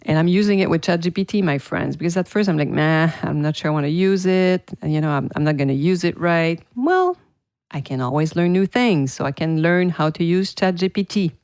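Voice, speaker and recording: A woman's voice: fairly low pitch, lively intonation, brisk, a little mellow, no room sound, studio quality. A woman with a French accent hosting her own podcast, talking to listeners in an enthusiastic, chatty way. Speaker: podcast host and PR teacher. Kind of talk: casual solo podcast talk.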